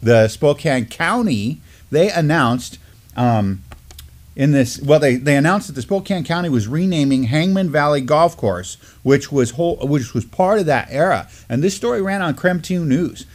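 A man's voice: fairly high pitch, animated, moderate pace. Middle-aged American man, informal news host. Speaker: co-host of a Native news live stream. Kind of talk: informal news discussion, partly reading articles aloud. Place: small indoor studio, seated at a desk.

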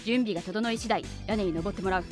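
A woman's voice: medium pitch, quick pace, a little mellow, soft background music, lightly acted. A woman playing a stern young female leader, formal and commanding, in an anime audio drama. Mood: resolute.

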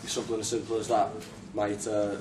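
A man's voice: on the low side, completely flat delivery, a little fast. A young man in his twenties, a rugby league winger answering modestly and hesitantly in a post-match press interview. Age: 20s. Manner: modest, hesitant, many fillers. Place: small press room at a stadium. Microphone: far camera mic. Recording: echoey room from far off, noisy.

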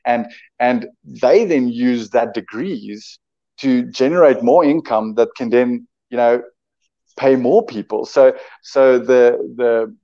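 A man's voice: medium pitch, slow, mellow. A South African man in his twenties whose first language is Afrikaans, talking eagerly as an entrepreneur guest on a video call from home, often repeating words as he builds his point. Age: 20s. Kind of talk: casual video-call interview. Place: home room indoors. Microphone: webcam on a video call. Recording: dull lo-fi video-call audio.

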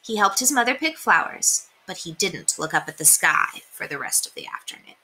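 A young woman reading a children's storybook aloud in an expressive, deliberate way. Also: mid-range voice, low-quality recording. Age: young adult. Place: small indoor room. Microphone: webcam at desk distance.

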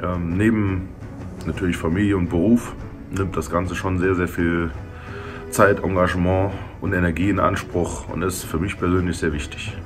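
A man from Germany, a reenactment commander, speaking in a measured, hesitant way in a documentary interview indoors. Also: deep voice, steady tone, over music. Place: indoors by a brick wall.

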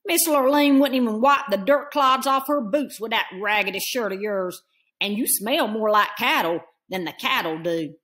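A woman's voice: on the high side, moderate pace, fairly bright tone, natural voice. A woman voicing a lazy young cowboy stepbrother who sneers in a drawl. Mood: sneering.

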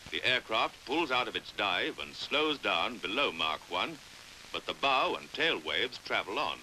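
A British man narrating a 1950s educational film in a precise, measured way, on a hissy old soundtrack. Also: on the low side, very animated, pushed and bright, rough recording.

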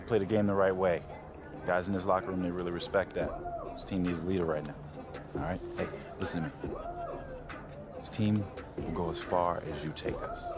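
An actor playing a firm, principled American college basketball coach in a video game, measured and instructive. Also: male voice, low voice, huge pitch swings, over loud music. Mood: encouraging. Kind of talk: naturalistic video game cutscene acting.

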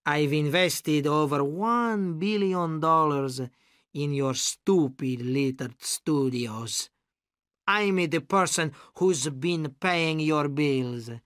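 A man's voice: fairly high pitch, slow pace, no echo, studio quality. A man voicing a volatile, menacing gangster boss in his fifties in an audiobook story. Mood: slow menace. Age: middle-aged. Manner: swings from hushed menace to screaming rage.

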